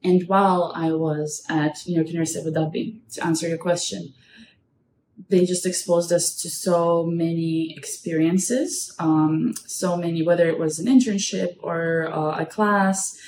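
Young russian-born woman guesting on a podcast, pretty low voice, echoey room, chatting through her answers. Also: fairly even intonation, normal speed, darkish tone. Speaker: podcast guest, marketing professional. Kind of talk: conversational interview answers. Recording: echoey room, faint background noise.

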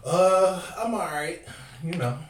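A man's voice: sits high, slow, echoey room, recorded from a distance, slight background noise. A man co-hosting a casual podcast, laid-back and teasing, laughing often. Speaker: podcast co-host.

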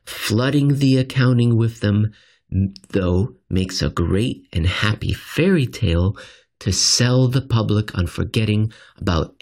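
A man narrating a written finance commentary in an expressive, scripted style with dramatic, deliberate phrasing. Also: on the low side.

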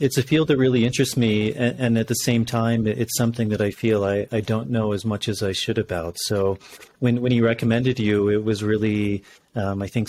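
A man's voice: on the low side, a little mellow, a little hiss or hum. A man hosting a podcast, talking in a loose, meandering way as he thinks aloud. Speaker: podcast host. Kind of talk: casual podcast interview.

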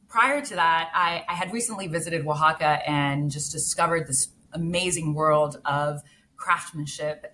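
A woman's voice: low-pitched, pushed and bright, slight background noise. A woman from Mexico, a brand founder, speaking as a guest in an interview, earnest and reflective with frequent restarts. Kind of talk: casual interview chat.

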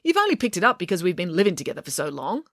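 Woman playing a haughty, excitable lady pig nanny, animated. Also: lowish voice, very fast, dry recording, studio quality, heavily acted. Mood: indignant. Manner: emphatic, pompous declarations.

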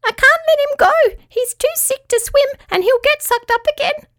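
A woman doing a tiny squeaky critter. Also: moderate pace, fairly bright tone. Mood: strained urgent. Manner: squeaky, strained. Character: small plucky male clawed creature, tiny and squeaky.

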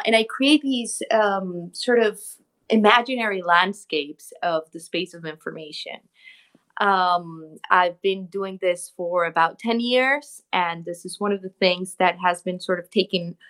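A woman's voice: medium pitch, a bit bright. Woman, reflective interview guest, mid voice. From Colombia. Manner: thoughtful, explanatory, frequent ums. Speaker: guest artist. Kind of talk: interview answers.

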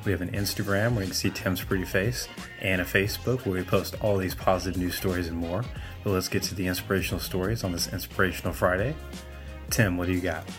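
A man hosting a podcast, energetic and promotional, moving the show along. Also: deep voice, fast talker, over music. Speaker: podcast host.

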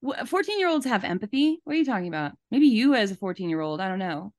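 A woman's voice: mid-range voice, big pitch swings, fast talker, no echo, clean studio sound. A woman hosting a podcast, giving casual, conversational commentary. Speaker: podcast host.

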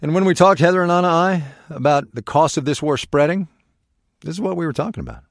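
A man hosting a radio news show, wrapping up in a brisk, conversational way. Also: a little high, lively intonation, gravelly. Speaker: host.

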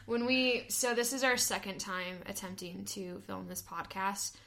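A woman's voice: mid-range voice, measured pace, creaky, bright, forceful tone. A young woman co-hosting a podcast in casual chat, talking with dry, self-deprecating humor and many fillers. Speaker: podcast co-host.

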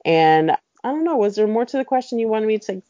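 A woman's voice: fairly high pitch, lively intonation, fairly quick, mellow, dry recording, muffled recording. A middle-aged woman, a clinical psychologist, chatting as a podcast guest in an easy, upbeat way. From Mexico City. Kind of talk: casual interview chat.

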